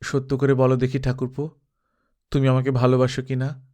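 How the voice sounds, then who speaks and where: A man's voice: medium pitch, completely flat delivery, fairly quick, darkish tone, lightly acted. A man voicing a passionate, possessive married woman, intense and coaxing, in a dramatised audiobook reading. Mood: tender, pleading.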